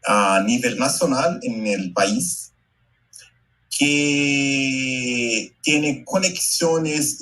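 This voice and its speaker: A Brazilian man whose first language is Portuguese, speaking slowly and haltingly in Spanish mixed with Portuguese, giving a talk over a video call. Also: mid-range voice, fairly bright tone, poor audio. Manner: slow, careful, halting Portuguese-Spanish mix with many pauses. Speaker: invited speaker, educator.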